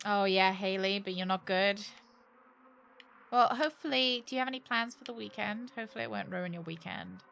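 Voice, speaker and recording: A British woman with a bright, forward voice chats casually as she streams a game. She is jokey and sarcastic, swears freely, and keeps her pitch in a middle range. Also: fairly quick, creaky, a little hiss or hum. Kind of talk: casual livestream chat while playing a game.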